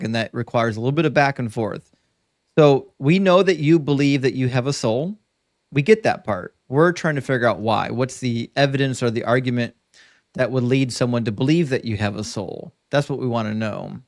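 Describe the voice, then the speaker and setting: A man's voice: mid-range voice, no echo, studio quality. A man moderating a live online debate as host, firm and direct with his questions.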